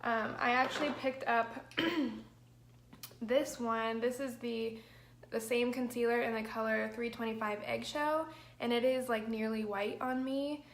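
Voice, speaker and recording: A young woman with an allergy-hoarse, crackly voice, chatting through a makeup livestream, rambling with lots of "like" and "um". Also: a little high, fairly even intonation, unhurried, roomy sound. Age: young adult. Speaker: makeup vlogger. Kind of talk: chatty get-ready-with-me livestream. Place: small bedroom, indoors. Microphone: built-in mic of a camera at arm's length.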